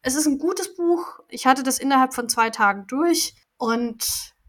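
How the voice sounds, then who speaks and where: A woman's voice: high voice, measured pace, a bit bright. A woman co-hosting a podcast, chatting casually about a book she read, with frequent laughs and fillers. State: has a cold. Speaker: podcast co-host. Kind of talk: casual chat about books and audio dramas.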